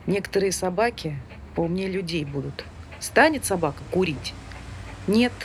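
A woman's voice: fairly low pitch, animated, moderate pace, close to an everyday voice. An actress playing a prim, opinionated woman who speaks in an indignant, formal, lecturing manner in a stage drama. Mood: preachy. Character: prim, opinionated, scolding female dog owner. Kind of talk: naturalistic stage dialogue. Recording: noisy ambient background with street sounds.

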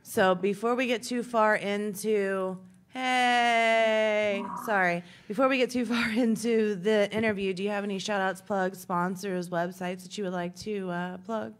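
A woman's voice: mid-range voice, steady tone, measured pace. A woman co-hosting a live music stream, reading out viewer comments and teasing her co-host. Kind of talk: casual live-stream chat.

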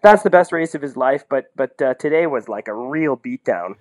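A man in a casual sports podcast conversation, with a middling pitch. He gives blunt opinions in an incredulous way, leaning on rhetorical questions and peppering his sentences with "like". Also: animated, moderate pace. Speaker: podcast commentator.

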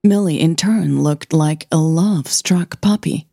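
A woman narrates scripted fiction in a slightly low, mellow voice. Her delivery is very slow, measured and gentle, as storytelling for an audio drama. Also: gravelly, no room sound, studio quality.

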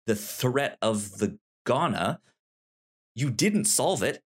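Halting man, unhurried podcast chat. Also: mid-range voice, expressive, husky. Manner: halting, repeats words while thinking aloud. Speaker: podcast co-host reviewing manga. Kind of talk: casual book discussion.

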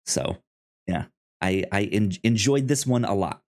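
A man with a low voice talks as a podcast host in a casual review style. His delivery is halting, and he often stammers over the first sounds of words before moving on. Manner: halting, stammering with repeated word starts.

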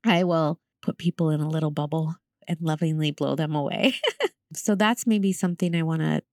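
Podcast host, female, low-pitched, close dry recording, casual and reflective, affirming conversational delivery. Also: normal speed, no echo. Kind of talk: casual, reflective interview chat.

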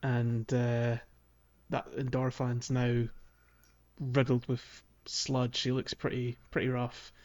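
A man's voice: medium pitch, completely flat delivery, slow, a little mellow, dull audio. A man co-hosting a podcast, telling things in a hesitant, informal way as he talks through a video game. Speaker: podcast co-host. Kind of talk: casual video game playthrough chat.

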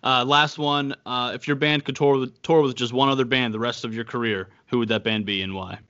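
A man's voice: mid-range voice, steady tone, fairly quick, fairly bright tone, highs cut off. A man hosting a podcast, asking a list of casual questions with short upbeat replies. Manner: brief, upbeat acknowledgments and listed questions. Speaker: podcast host.

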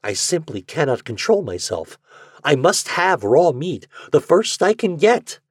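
A male narrator plays a cunning, sinister man from a horror tale. His voice is rough-edged and rises and dips markedly, with an eerie calm over a hungry intensity. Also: mid-range voice, moderate pace, dry recording, clean studio sound. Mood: feverish hunger. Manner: eerily calm, intense, rising in fervour. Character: sinister, cunning male host obsessed with raw meat.

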